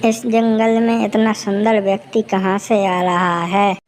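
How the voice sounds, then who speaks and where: Slow, level-toned smitten witch played by a woman. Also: mid-range voice, little pitch movement, a little mellow, slight background noise, natural voice. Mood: smitten, scheming. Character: smitten female forest witch plotting to marry. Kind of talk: Hindi cartoon story dialogue.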